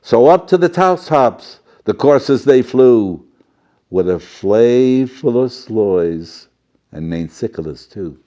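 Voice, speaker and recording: Man playing a slow, theatrical old-school professor storyteller. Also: mid-range voice, animated, warm tone. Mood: dramatic, excited. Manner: theatrical, fussy, chatty, tag questions, jumbled words. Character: doddering, jolly, theatrical male professor storyteller reading to children. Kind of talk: children's storybook reading with playful asides.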